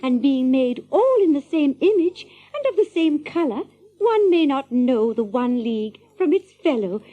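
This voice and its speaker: An actress playing an earnest young medieval damsel in an audio drama, rambling innocently in flowery archaic speech. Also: female voice, high-pitched, sing-song, normal speed, phone-quality sound.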